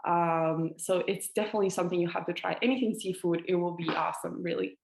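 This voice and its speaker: A woman's voice: fairly low pitch, fast talker, vocal fry, reverberant. A Chilean woman in her twenties, a native Spanish speaker, answering interview questions casually with many fillers and restarts. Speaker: author guest.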